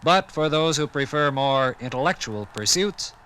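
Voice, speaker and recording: An actor playing an earnest educator, formal and oratorical, in a 1950s radio dramatization. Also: male voice, a little high, animated, moderate pace, bright, forceful tone, a little hiss or hum.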